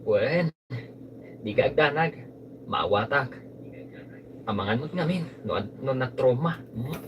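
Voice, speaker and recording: A man's voice: mid-range voice, expressive, slow pace, distant mic, lo-fi sound. Respectful, earnest Filipino man for radio drama, played by a male actor. Off-mic live recording, faint noise. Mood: grateful, reassuring. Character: polite, reassuring man in a family drama. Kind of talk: Ilocano radio drama acting.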